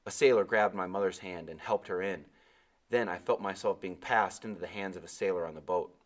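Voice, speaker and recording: A male read-aloud narrator with a lowish voice. He delivers scripted first-person adventure fiction in an expressive storytelling style. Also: brisk.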